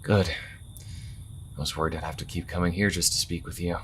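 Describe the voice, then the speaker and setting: A man's voice: sits low, fairly even intonation, brisk, a little hiss or hum, natural voice. A man playing an earnest, conflicted young priest in a fantasy audio drama, hesitant and prone to stammering. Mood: flustered, bantering. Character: earnest, conflicted twenty-two-year-old male priest.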